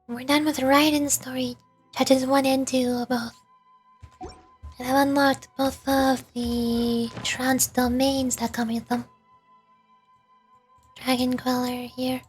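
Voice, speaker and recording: A woman's voice: high voice, husky. A woman livestreaming a video game, commenting casually and thinking aloud as she plays. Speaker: streamer, VTuber.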